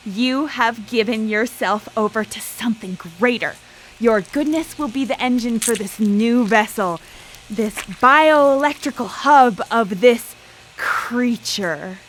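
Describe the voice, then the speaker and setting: A woman's voice: on the high side, slow pace, a bit bright, slight background noise, rough recording. An actress playing an obsessive, ruthless female scientist in a theatrical, formal manner for a live radio drama. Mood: triumphant. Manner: grandiose, theatrical, formal speech.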